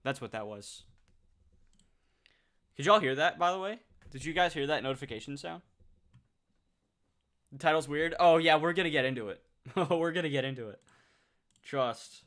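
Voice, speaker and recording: A nineteen-year-old man chatting casually on a livestream, reading out viewer comments, excitable and swearing freely. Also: fairly high pitch, moderate pace, long pauses.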